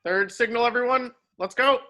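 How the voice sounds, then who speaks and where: Man playing a high-pitched, forceful old guard wizard. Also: normal speed. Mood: urgent, shouting. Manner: barking, clipped orders. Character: gruff, commanding, wary veteran wizard leading a guard. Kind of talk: amateur online script table read.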